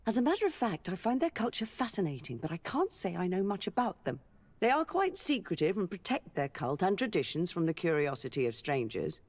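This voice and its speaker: An actress playing a learned, diplomatic noblewoman governor in a video game, speaking in a measured, formal way. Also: lowish voice, sing-song, a little mellow. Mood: fascinated, respectful. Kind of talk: scripted video game dialogue.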